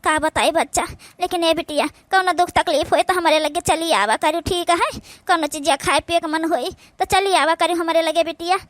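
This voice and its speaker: A woman's voice: very high-pitched, normal speed, no room sound. A woman voicing a kindly, protective village aunt in a cartoon, soothing and motherly, with an Awadhi accent. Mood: sad, consoling. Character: kindly, protective village aunt consoling her orphaned niece. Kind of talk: village cartoon comedy-drama acting.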